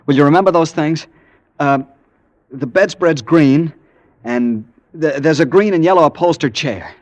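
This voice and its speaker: A man's voice: on the high side, a little slow, dry recording, close to an everyday voice. An actor playing a desperate, earnest young American man, narrating and pleading in 1940s radio suspense style. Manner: earnest, nervy, dramatic. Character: anxious, desperate young man hunting his missing fiancee. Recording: vintage radio drama, music behind.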